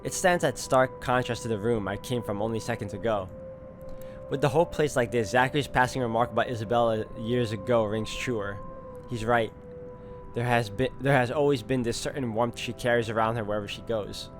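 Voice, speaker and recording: A man reading introspective first-person visual novel narration in a measured way. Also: medium pitch. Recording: faint music under the voice. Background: music.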